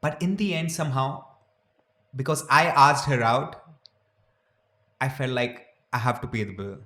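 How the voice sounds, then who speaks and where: A man's voice: medium pitch, measured pace, sharp tone, roomy sound. A man co-hosting a podcast, blunt and frank in casual chat, swearing freely. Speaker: podcast co-host.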